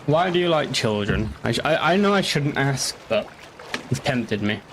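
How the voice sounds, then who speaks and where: A man's voice: mid-range voice, expressive, normal speed, a bit bright, a little hiss or hum. Rambling young man streaming a game. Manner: rambling, joking, swears casually. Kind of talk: casual gaming commentary.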